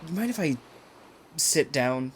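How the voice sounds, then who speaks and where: A man's voice: fairly high pitch, expressive, a little slow, a little hiss or hum. An actor playing a folksy, forward hotel keeper courting a woman, chatty and drawling, in old-time radio drama style. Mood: friendly, flirtatious. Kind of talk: 1940s radio acting, dialogue.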